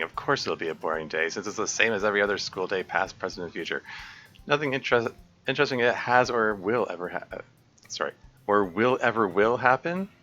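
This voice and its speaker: A man's voice: fairly low pitch, bright, forceful tone. A man reading a visual novel aloud on a live stream, often stopping to correct himself and laugh. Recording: dull lo-fi stream audio, faint music.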